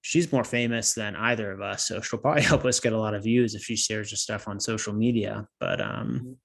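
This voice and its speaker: A man's voice: lowish voice, fairly quick, creaky. A man co-hosting a casual podcast, rambling and losing his train of thought, with a slightly nasal voice. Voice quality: a bit nasal. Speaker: podcast co-host. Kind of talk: casual chat.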